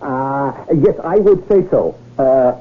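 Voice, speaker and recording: A dull-sounding 1970s radio drama recording. a lonely, earnest rich fellow with a husky, animated voice, nervous and hesitant like someone unused to command. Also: male voice, mid-range voice, slow. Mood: eager, awed. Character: lonely, naive, nervous rich man, would-be king.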